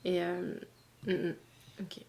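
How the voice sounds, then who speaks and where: A woman's voice: lowish voice, monotone, slow, mellow. A woman hosting a casual interview, asking questions and encouraging her guest with frequent agreement. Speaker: interviewer, host.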